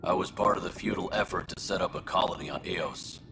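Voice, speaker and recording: An actor playing a weary, resigned colonist imprisoned for murder, speaking in a halting, subdued way in a video game. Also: male voice, low voice, gravelly, background noise. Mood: resigned, regretful.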